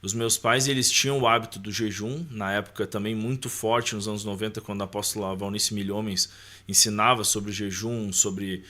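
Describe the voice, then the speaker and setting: A man's voice: lowish voice, fairly even intonation, fairly quick, pushed and bright. A man with a Brazilian accent speaking as a podcast guest, sharing personal faith and life in an informal, conversational way, often checking for agreement.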